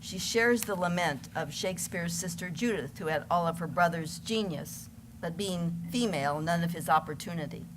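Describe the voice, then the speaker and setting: Female presenter, lower register, scripted literary introductions, noisy live recording. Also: moderate pace. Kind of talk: scripted narration to an audience.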